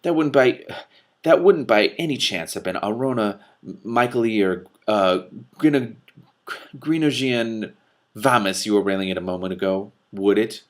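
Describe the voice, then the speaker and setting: A man voicing a brisk, composed witch woman in a storybook, asking pointed, matter-of-fact questions. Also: lowish voice, close to an everyday voice. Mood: inquisitive. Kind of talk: storybook read aloud.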